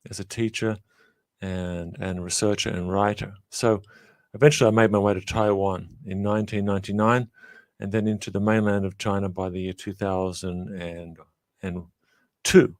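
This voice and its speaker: A middle-aged man from Australia, a professor giving measured, reflective interview answers full of small pauses and fillers. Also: lowish voice, little pitch movement, dry recording. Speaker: guest, professor.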